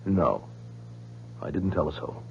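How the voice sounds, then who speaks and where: An actor playing a troubled, obsessive scientist in a 1970s radio drama, speaking in a halting, insistent and defensive way. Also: male voice, rumbling low, very animated, gravelly, a little hiss or hum, exaggerated voice. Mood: grave. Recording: muffled vintage radio broadcast.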